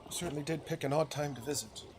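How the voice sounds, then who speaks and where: A man voicing a reserved, soft-spoken townswoman in a video game. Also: fairly high pitch, quick pace, close to an everyday voice. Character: reserved townswoman greeting a long-absent old acquaintance. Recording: noisy recording with game ambience.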